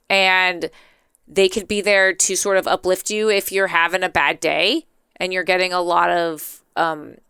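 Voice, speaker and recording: A talkative woman hosts a casual livestream with a bright, forward voice that is strikingly clean and smooth. She rambles off on tangents and reacts expressively, with playful drama. The sound is close and dry. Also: fairly low pitch, no echo. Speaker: live streamer. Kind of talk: casual chatty livestream commentary.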